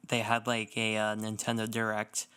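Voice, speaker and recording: A man's voice: lowish voice, completely flat delivery, normal speed, bright, forceful tone, smooth. A young adult man co-hosting a podcast, talking informally in a casual chat about games. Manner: informal, conversational. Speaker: podcast co-host.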